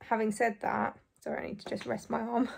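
A young woman in her twenties, hoarse and croaky after surgery, chatting casually to camera from a small bedroom. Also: on the high side, level tone, a little fast, darkish tone, slight background noise. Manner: chatty, relieved, frequent light laughs. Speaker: vlogger. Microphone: phone or camera close in front.

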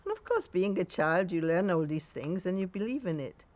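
A woman in her nineties with a Polish accent, recounting memories in a halting way in a sunny garden. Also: lowish voice, animated. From Poland. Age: 90s. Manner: halting, with frequent pauses and restarts. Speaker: interviewee.

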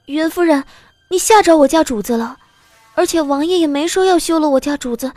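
Woman doing a scared young maid begging, really high squeaky-girl voice, fretful, dry audio drama sound. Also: fairly quick, darkish tone, dry recording, soft background music, close to an everyday voice. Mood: frightened pleading. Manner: pleading, fretful. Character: pleading young maidservant, timid but loyal. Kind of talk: audio drama character acting.

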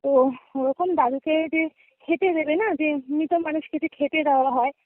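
High-pitched young Bengali woman on a phone line. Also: moderate pace, dry recording. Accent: West Bengal Bengali. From West Bengal, India. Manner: conversational, checks in with listener often. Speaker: guest caller. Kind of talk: casual personal storytelling. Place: remote call, dry sound. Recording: narrow tinny phone-call audio.

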